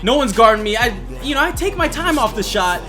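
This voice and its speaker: A man's voice: very high voice, big pitch swings, brisk, pushed and bright, soft background music. A man giving self-hyping play-by-play commentary on his own basketball video game, narrating each play in the present tense. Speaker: video gamer and channel host.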